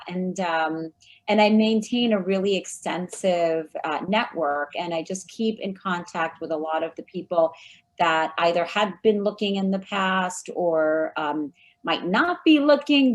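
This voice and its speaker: Interview guest: a woman with a lowish voice, friendly and measured. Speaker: guest, recruiting firm founder. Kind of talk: interview answers.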